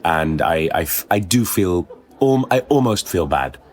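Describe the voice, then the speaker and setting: A man telling a personal story as a podcast guest, conversational, with a hesitant, halting delivery. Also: low voice, animated, darkish tone. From born in Kuwait, moved around the world. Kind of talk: conversational anecdote.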